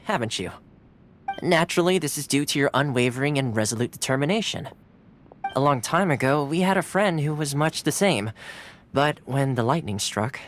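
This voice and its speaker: A man's voice: a little high, a little hiss or hum, close to an everyday voice. A man voicing a friendly young man who speaks through a dog, teasing at first and then earnest. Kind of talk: video game dialogue. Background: game music.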